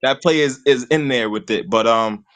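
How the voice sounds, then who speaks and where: A man's voice: mid-range voice, moderate pace, a bit bright. A man, talking sports casually and rambling, leaning on "you know what I'm saying" all the time. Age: 20s. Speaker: call-in guest host.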